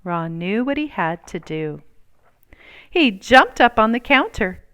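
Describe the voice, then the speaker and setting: A woman reading a children's storybook aloud in an expressive, deliberate storytelling manner. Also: medium pitch, smooth. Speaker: storybook reader.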